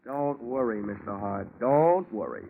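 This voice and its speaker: A man's voice: fairly low pitch, animated, measured pace, heavy background noise. Tinny, wisecracking radio detective played by a man. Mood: businesslike, wry. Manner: dry, wisecracking. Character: wry, hard-boiled male freelance insurance investigator. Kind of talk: old-time radio detective drama, first-person narration. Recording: band-limited old radio sound with music.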